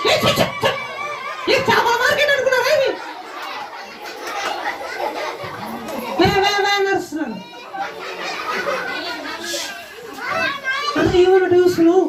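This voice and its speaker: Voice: very high voice, sing-song, very fast. A performer voicing a cheeky child puppet who banters with and teases a young audience in a live show. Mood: mock scolding. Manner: teasing, scolding, calling out to the audience. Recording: echoey noisy hall with crowd.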